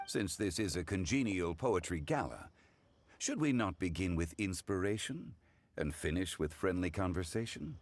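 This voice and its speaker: Learned gentleman consultant for a game: very deep, composed, measured, formally polite. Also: expressive. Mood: thoughtful. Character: dignified, erudite gentleman consultant, calm and formal. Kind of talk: animated video game voice acting.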